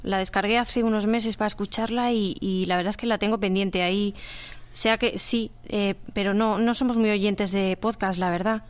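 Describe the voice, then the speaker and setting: A woman's voice: mid-range voice, steady tone, moderate pace, muffled recording. A woman from Spain with a Spanish accent, a radio drama director answering casually in an interview.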